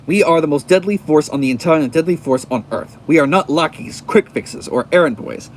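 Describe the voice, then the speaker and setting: A man's voice: fairly high pitch, expressive, moderate pace, lightly acted. A man voicing a proud, menacing monstrous force with an ominous, declamatory manner, on a slightly noisy recording. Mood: proud menace.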